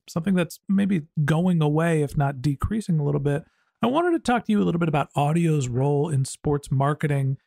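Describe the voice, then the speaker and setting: A man's voice: on the high side, warm tone, no room sound, studio quality. A middle-aged man hosting a podcast interview in a chatty, enthusiastic, conversational way. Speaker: podcast host.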